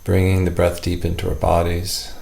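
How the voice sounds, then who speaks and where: A man leading a guided meditation, speaking gently and unhurriedly in short phrases with long pauses. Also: deep voice, completely flat delivery, vocal fry.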